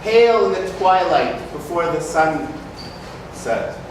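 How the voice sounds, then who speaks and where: A man plays a reverent stage poet reciting lyrical wedding verse. He is unhurried and fairly high in pitch. The recording is distant, with heavy hall echo. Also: animated. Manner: lyrical, measured, declamatory. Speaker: actor in a staged reading. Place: large community hall. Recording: echoey hall, distant mic, noisy.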